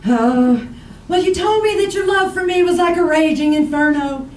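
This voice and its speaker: A woman's voice: high voice, moderate pace, a little mellow, close to an everyday voice. A woman playing a nagging, romantic, chatty wife in a radio comedy sketch, needling and plaintive. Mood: fretful, wheedling. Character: nagging, romantic, chatty wife who won't let husband sleep. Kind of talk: old-time radio comedy sketch acting. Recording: echoey live room, distant mic, faint noise.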